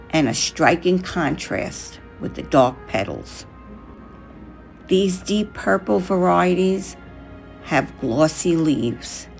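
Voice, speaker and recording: A woman reads a reflective craft narration in short, measured phrases. Her delivery is unhurried, with gravelly fry at the edges and expressive rises and falls in pitch. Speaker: craft tutorial host. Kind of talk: scripted narration over a craft video.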